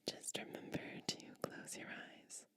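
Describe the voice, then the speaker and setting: Slow, whispering ASMR woman. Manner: soothing, gentle, unhurried instructions. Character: caring witch soothing someone to sleep. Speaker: ASMR performer. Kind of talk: whispered ASMR personal-attention roleplay.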